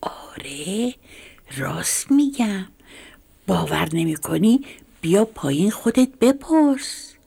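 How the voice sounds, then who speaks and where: A woman voicing a sly, scheming male fox in a children's storybook tale, calling out in drawn-out, excited tones. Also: medium pitch, creaky, husky, heavily acted. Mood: insistent.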